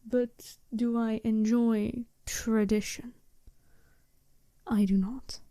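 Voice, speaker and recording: Woman playing a mellow, soft-spoken werewolf bride. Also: fairly high pitch, flat delivery, dry recording, studio quality. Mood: frank, measured. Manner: polite, measured, formal wording. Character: smooth, businesslike female werewolf bride, seductive and frank. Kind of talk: soft-spoken ASMR roleplay.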